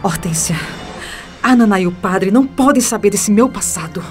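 Proud, desperate Brazilian first lady, played by an actress: melodramatic, widely varied pitch, radio soap with music underneath. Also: animated, normal speed, lightly acted. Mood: defeated, bargaining. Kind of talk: radio soap opera acting.

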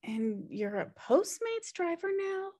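A woman's voice: very high-pitched, very animated, a little slow, dark tone, heavily acted. A woman playing a sardonic, pushy slacker sister in her thirties, dry and teasing, at a comedy table read on a video call. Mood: dry, stoned. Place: home room on a video call.

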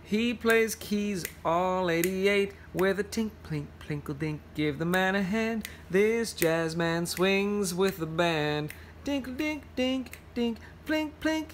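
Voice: medium pitch, lively intonation, slow, slight background noise. A person reading a picture book aloud to children, playful, with singsong rhymes, scat sounds and casual explanations.

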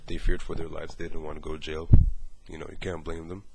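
A man's voice: rumbling low, flat delivery, fast talker, vocal fry, heavy background noise. A man, talking earnestly with many fillers from a small bedroom, then pleading on a phone call. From Morocco. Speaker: activist caller.

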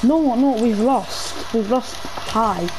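A teenage gamer chatting excitedly and pleadingly while playing an online game. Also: mid-range voice, takes it slowly, over a noisy crowd. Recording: noisy game voice chat.

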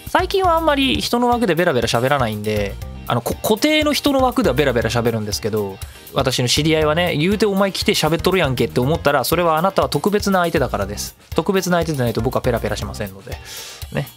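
A talkative man hosts a casual solo radio show. His voice sits on the high side and moves around in a very animated way, with music underneath. He often slips into quoting imagined remarks as he goes. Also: fast. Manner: talkative, acts out imagined remarks mid-talk. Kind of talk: casual solo web radio talk with chatty asides.

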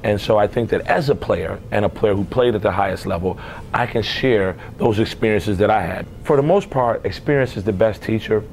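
An American man in his forties talks in a fairly low voice, like a coach being interviewed. His delivery is emphatic, with repeated phrases for stress, over a faint low rumble. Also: fairly quick, a little mellow. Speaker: assistant basketball coach. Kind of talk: on-camera interview about his career. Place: indoor athletics office, seated before camera.

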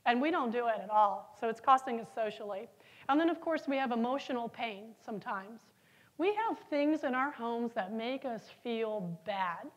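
A woman's voice: on the high side, rough recording. A middle-aged woman giving a conference keynote on stage, telling stories and asking the audience questions. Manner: lively storytelling, asks the audience questions. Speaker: keynote speaker. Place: large conference hall, on stage.

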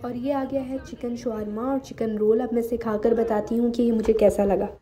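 A woman's voice: fairly high pitch, fairly even intonation, fairly quick. A young woman with a Pakistani accent, casually narrating a food vlog. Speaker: vlog co-host. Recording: clear background noise.

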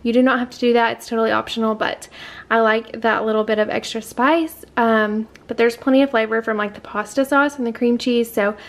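A woman's voice: a little high, steady tone, fairly quick. A young adult woman, casually narrating her home cooking in a chatty, informal way. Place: home kitchen, indoors. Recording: clattering kitchen noise.

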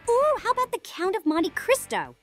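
A woman's voice: extremely high pitch, wildly swooping pitch, normal speed, fairly bright tone, soft background music, heavily acted. A woman voicing a hostile, protective teenage dinosaur girl in a visual novel, blunt and accusing. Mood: animated.

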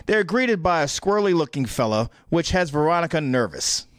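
A man's voice: on the high side, very animated, a little fast, no room sound, studio quality. A man reading scripted stage directions as narrator of a comic table read. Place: indoor room on a video call. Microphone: close microphone on a video call.

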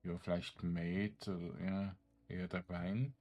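A man giving casual game commentary, thinking aloud in a halting mutter as he plays. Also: sits low, level tone, a little mellow. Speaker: gaming streamer.

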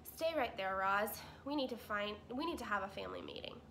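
A stubborn, grumbling male beaver, blunt and folksy, who gives out advice while he complains, played by a woman reading aloud. The tone stays bright and forward. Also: medium pitch, expressive, a little fast, creaky, slight background noise. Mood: gruff. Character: gruff stubborn male beaver, dam builder.

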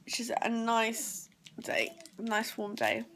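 A woman's voice: fairly high pitch, big pitch swings, slow pace, a bit bright. A young woman with a sore throat and congested nose, chatting casually to a phone camera at home, rambling with plenty of ums. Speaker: vlogger, mother. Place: small house, sofa, kitchen and garden. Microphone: phone camera at arm's length. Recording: noisy home phone recording.

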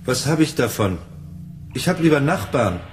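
A man's voice: medium pitch, sing-song, moderate pace, a little hiss or hum, close to an everyday voice. A man acting a naive, kindly, timid loner in a radio drama, earnest and hesitant. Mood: earnest, gentle.

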